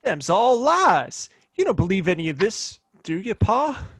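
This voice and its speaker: A man's voice: high-pitched, very animated, unhurried, a bit bright, breathy edge, dry recording, studio quality, full character voice. A man playing a bratty young sheriff, a town boss's spoiled son, in a Western audio drama, with whiny, folksy speech. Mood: whiny accusing. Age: young adult.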